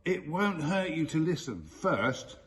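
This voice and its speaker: A man voicing an eager, persuasive female spirit person in a storybook, with measured, coaxing delivery. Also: fairly high pitch, sing-song, pitched above the performer's own voice.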